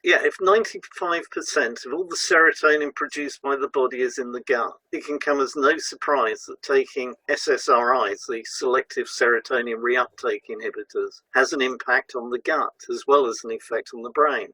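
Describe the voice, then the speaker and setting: A man's voice: mid-range voice, moderate pace, hardly any pauses, sharp tone, husky, dry recording, rough recording. A man presenting a scripted educational talk as a narrator.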